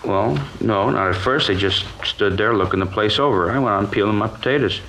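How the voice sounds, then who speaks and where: A man's voice: lowish voice, a little fast, sharp tone, low-quality recording. A male actor playing a nervous, colloquial American kitchen worker giving a witness account in a 1950s radio crime drama. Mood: anxious recounting. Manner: hesitant, colloquial, defensive. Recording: old radio recording with background hiss.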